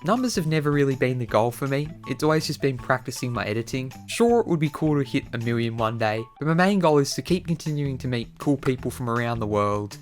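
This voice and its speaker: A man's voice: mid-range voice, expressive, fast, few pauses. A man talking casually to his viewers as an online video creator answering their questions. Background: music.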